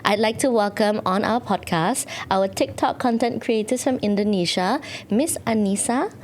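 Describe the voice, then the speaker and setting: A woman hosts a podcast conversation with her guests. She gives bright, scripted introductions and keeps the talk moving with small affirming murmurs. A faint hiss sits behind her voice. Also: mid-range voice, moderate pace. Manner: scripted, upbeat introductions with frequent "um" and affirming "mm-hmm". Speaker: podcast host.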